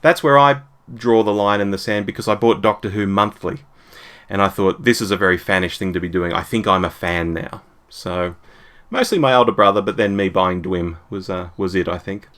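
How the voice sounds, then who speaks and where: A man's voice: sits low, moderate pace, fairly bright tone. A man in his forties talking casually as a podcast guest in an interview. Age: 40s.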